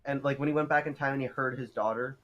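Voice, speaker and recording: A young man in a casual group discussion about film, talking in an excitable, insistent way as a co-host. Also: mid-range voice, fast talker, lo-fi sound, a crowd in the background. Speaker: podcast co-host. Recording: very loud background noise, clicks.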